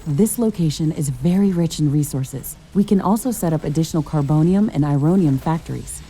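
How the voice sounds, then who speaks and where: A woman's voice: low-pitched, brisk, warm tone, faint music behind. A woman playing a composed, capable scientist-commando in a video game, with an earnest, scripted delivery. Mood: resolute.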